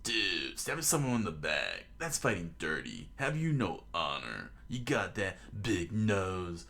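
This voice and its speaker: Brash young pirate hero, read by a man: low, blunt, slangy, defiant storybook adventurer. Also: sing-song, unhurried, a bit bright, full character voice. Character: brash, fearless teenage pirate boy.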